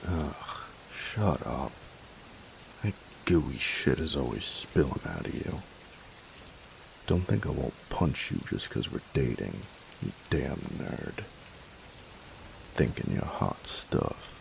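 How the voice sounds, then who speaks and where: A gruff young bully boyfriend, acted by a man in an intimate roleplay, with an extremely low voice full of heavy fry. He takes his time and teases with a tender undertone. Clear hiss and rain sit behind him. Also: exaggerated voice. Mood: gruff, flustered. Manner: gruff, teasing, halting when tender. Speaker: voice actor in roleplay audio. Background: steady rain.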